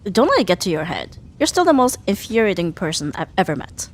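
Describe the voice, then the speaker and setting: A woman playing a sharp-tongued young female rival in an ASMR roleplay, huffy and sarcastic but grudgingly caring. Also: on the low side, very animated. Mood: grudgingly soft, dismissive. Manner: sarcastic, huffy, theatrical sighs and drawn-out jabs. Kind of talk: ASMR roleplay audio drama addressed to the listener.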